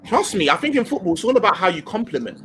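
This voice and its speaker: A man's voice: high voice, sing-song, fast, a crowd in the background. A man hosting a casual football chat, slangy and effusive, repeating phrases for emphasis. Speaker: host of a football fan channel. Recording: faint background noise.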